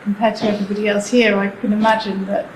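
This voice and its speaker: Flat-toned woman panelist in an echoey hall. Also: medium pitch. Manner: reflective, measured, explanatory. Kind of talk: panel discussion remarks. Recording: echoey hall, faint noise.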